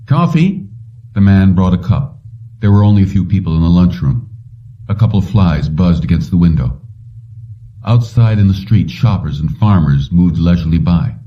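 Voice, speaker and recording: A man's voice: low voice, normal speed. A man reading a short story as scripted audiobook narration. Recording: dull lo-fi, distant from mic.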